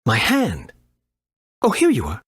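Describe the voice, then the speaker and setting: A man's voice: mid-range voice, wildly swooping pitch, a little slow, a bit bright, breathy edge, no echo, studio quality, heavily acted. An actor playing an eccentric male top researcher in a video game, with a theatrical, quirky manner. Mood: quirky, helpful.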